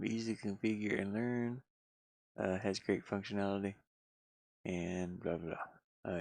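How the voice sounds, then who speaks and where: A man talks off the cuff on a casual livestream, his voice full of creaky fry. He takes his time, muttering to himself and wandering between thoughts in folksy, colloquial phrasing. The sound is close and dry. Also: lowish voice, no room sound. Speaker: livestreaming gamer and hobby game developer living in a van. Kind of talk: casual unscripted livestream chat. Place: inside a van.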